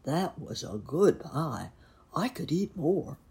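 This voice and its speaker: A brave, kind storybook horse, acted by a woman, speaks up earnestly for his friends. His voice is raspy and lively, with drawn-out, emphatic words and a creaky edge. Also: deep voice, full character voice. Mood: grateful. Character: kind, brave male horse, grateful and protective. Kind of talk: children's storybook character voice.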